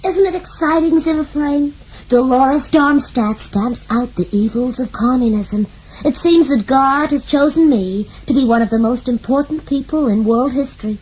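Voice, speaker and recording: An actress playing a vain, giddy rich wife, gushing with excitement in a comic radio play. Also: female voice, sits high, moderate pace, slight background noise, lightly acted, poor audio.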